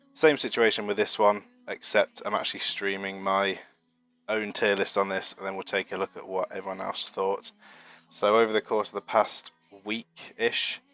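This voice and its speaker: A man's voice: fairly low pitch, a bit bright, no echo. Sniffly British man chatting on stream. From United Kingdom. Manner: chatty and rambling, self-deprecating, with frequent fillers. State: getting over a flu, a bit sniffly. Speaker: streamer hosting a marble racing channel. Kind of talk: casual live-stream chat reading chat messages.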